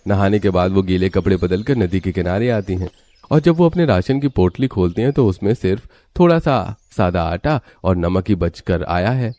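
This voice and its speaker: A man's voice: low-pitched, expressive, normal speed, mellow. A man narrating a scripted village story for a cartoon.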